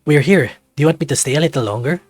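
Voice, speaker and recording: A man's voice: on the high side, very fast, dry recording, soft background music, lightly acted. A man voicing a caring male furry best friend in a visual novel, reassuring and attentive. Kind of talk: visual novel character read-aloud.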